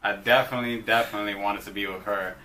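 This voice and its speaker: A young man co-hosting a couple's vlog, telling the story in a relaxed, halting way full of "you know" and "uh". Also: fairly low pitch, a little hiss or hum, poor audio. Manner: laid-back, hesitant, lots of "you know" and "uh". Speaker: vlog co-host. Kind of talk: casual storytime chat.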